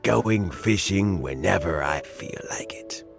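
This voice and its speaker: An actor playing an elderly, kindly mentor official in a video game, speaking in a gentle, reflective and deliberate way. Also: male voice, low-pitched, expressive, vocal fry, over music, full character voice. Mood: amused, resigned. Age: older. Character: elderly kindly mentor official nearing retirement, fond of fishing. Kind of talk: video game dialogue acting.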